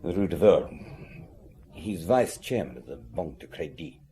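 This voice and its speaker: An actor playing a forthcoming, respectful café owner giving a witness account in a radio drama. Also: male voice, extremely low pitch, lively intonation, measured pace, warm tone, slight background noise. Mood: confiding.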